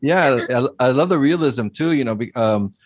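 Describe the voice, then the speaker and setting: A man's voice: mid-range voice, animated, moderate pace, dry recording. A man from Costa Rica interviewing an author, speaking casually with many fillers and repeated words. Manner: hesitant, many fillers and repeats, laughs often.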